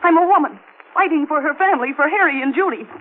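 A woman's voice: sits high, animated, fast, phone-quality sound. An actress playing an intense, determined wife and mother fighting for her family in an old-time radio thriller. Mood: defiant. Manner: intense, pointed, challenging. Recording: thin, hissy old radio recording.

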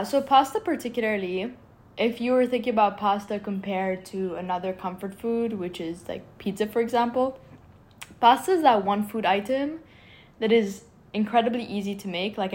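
A woman's voice: medium pitch, normal speed, a little mellow. Eager young woman from Egypt. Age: young adult. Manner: earnest, eager, many "like" fillers. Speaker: startup founder, guest. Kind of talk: casual interview answers.

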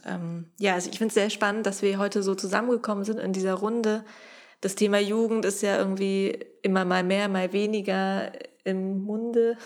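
A young woman hosts a round-table conversation, her voice carrying a gravelly edge. She speaks hesitantly, often pausing on fillers as she guides the talk. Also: medium pitch. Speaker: podcast host and moderator. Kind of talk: casual round-table moderation.

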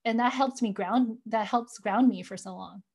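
A woman's voice: a little high, moderate pace, darkish tone, slightly rough. A woman in her forties, reflecting earnestly on growing up, often saying "you know" as a guest in an interview. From United States. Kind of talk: reflective personal storytelling.

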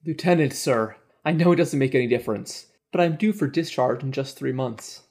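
A man's voice: fairly high pitch, animated, slow, darkish tone, slightly rough, heavily acted. A man voicing a desperate, wounded young soldier who pleads with his superiors in a war novel reading. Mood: fearful, deferential.

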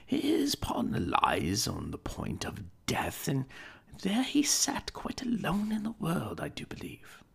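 An actress playing a gentle married woman who speaks in a hushed, pitying tone. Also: deep voice, huge pitch swings, takes it slowly, vocal fry, husky, whispered, full character voice.

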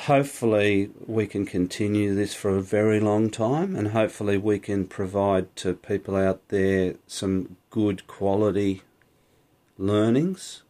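Aussie male podcast co-host, low voice, loose unscripted chat with restarts. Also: fairly even intonation, unhurried, dark tone. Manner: rambling, self-correcting, laughs often. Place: small recording studio. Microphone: studio microphone.

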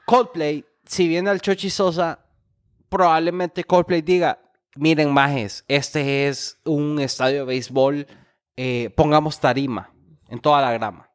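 A man's voice: fairly high pitch, fairly even intonation, measured pace. A man. He co-hosts a casual group podcast, telling stories and tossing in slangy asides. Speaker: podcast co-host.

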